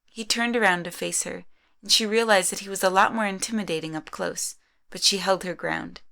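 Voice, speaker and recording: A woman's voice: medium pitch, fairly even intonation, fairly quick, creaky, pushed and bright. A woman narrating a scripted story in the third person.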